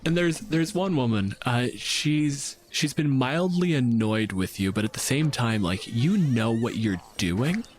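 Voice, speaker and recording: A man's voice: medium pitch, animated, unhurried, few pauses, slight background noise. A man acting as game master, talking casually and informally as he narrates and questions the players.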